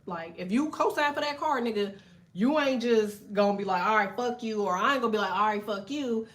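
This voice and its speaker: Middle-aged lady tarot reader rambling a story to her viewers, swears a lot, keeps saying okay. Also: a little fast. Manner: loose, profane, chatty, lots of "okay?" and "so boom".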